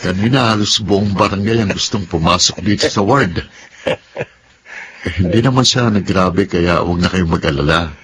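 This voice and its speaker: Male actor as grown son, lowish pitch, Filipino accent, caring, respectful, drama dialogue, noisy background. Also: moderate pace, lightly acted. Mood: reassuring. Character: devoted, reassuring grown son of an old man.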